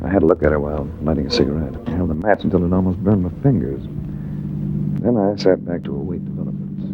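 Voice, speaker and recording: An actor playing a suave, wisecracking adventurer who narrates in a wry, urbane American way, in 1940s radio style. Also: male voice, low-pitched, quick pace, heavy background noise, close to an everyday voice. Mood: wry narration. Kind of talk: 1940s radio acting, hard-boiled narration. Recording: dull vintage radio recording with music.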